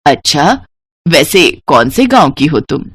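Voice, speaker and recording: Woman playing a low-voiced, gracious older lady. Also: very animated, unhurried, husky, no room sound, clean studio sound, full character voice. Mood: kindly, composed. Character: gracious older rich lady, kindly and composed.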